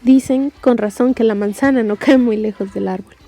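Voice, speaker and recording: A woman's voice: on the high side, moderate pace, warm tone, soft background music. A woman with a Mexican accent narrating an audiobook story in a wry, scripted style.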